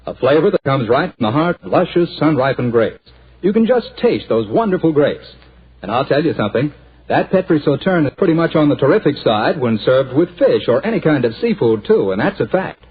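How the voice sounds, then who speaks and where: A man announcing a 1940s radio show and reading a wine ad with a hearty salesman's pitch. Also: very animated, a little fast, husky. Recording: tinny 1940s radio transcription.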